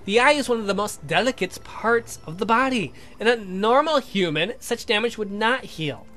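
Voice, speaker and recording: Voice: mid-range voice, animated, normal speed, bright, forceful tone, soft background music. A performer voicing a patient young male scientist in a visual novel, explaining things in a formal, precise, pedantic way.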